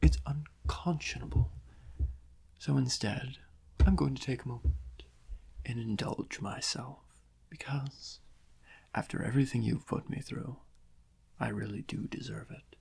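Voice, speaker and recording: A man playing a vain, theatrical criminal mastermind who gloats and draws out his phrases in a soft-spoken ASMR roleplay, with a breathy, gravelly voice. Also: mid-range voice, heavily acted. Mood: smug, savouring. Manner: slow, drawn-out, melodramatic, savouring each phrase with long pauses.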